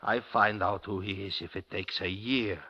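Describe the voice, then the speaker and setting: An actor playing a brooding, jealous butcher in a 1950s radio thriller, terse, heavy and suspicious. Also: male voice, sits low, fairly even intonation, moderate pace. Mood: suspicious, menacing. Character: brooding, jealous, menacing husband, a working butcher. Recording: dull vintage 1950s radio recording.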